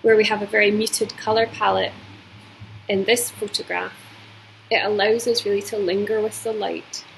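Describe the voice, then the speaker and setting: A woman in her forties from Scotland with a Scottish accent, giving a measured, reflective recorded art lesson from home, with frequent ums. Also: mid-range voice, little pitch movement, gravelly, a little hiss or hum, low-quality recording. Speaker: art teacher. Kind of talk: recorded video lecture. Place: indoors at home.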